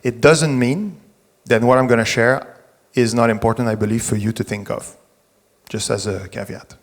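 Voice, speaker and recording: A middle-aged French Canadian man addresses a live audience at a conference, talking easily and often restarting his phrases. His voice sits at an ordinary middle pitch. The sound carries the long reverb of a large venue hall. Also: fairly even intonation, normal speed. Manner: conversational, with frequent restarts and self-corrections. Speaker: tech executive giving a conference talk.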